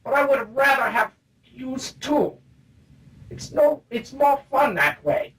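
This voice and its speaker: A woman's voice: high voice, big pitch swings, takes it slowly, fairly bright tone, distant mic, heavily acted, rough recording. An actress playing a gleefully cruel female spy villain in a comic radio play. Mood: gleeful, bossy.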